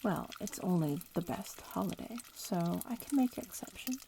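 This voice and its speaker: Casting: woman for casual young witch-in-training ASMR roleplay; soft, whispery, creaky delivery, clear background hiss. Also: fairly low pitch, lively intonation, moderate pace.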